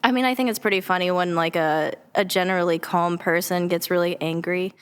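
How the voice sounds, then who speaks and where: A woman's voice: fairly low pitch, steady tone, a little fast, clear, resonant tone. A woman answering questions as a guest actress on a podcast, casual, light-hearted and a little hesitant. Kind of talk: casual interview chat.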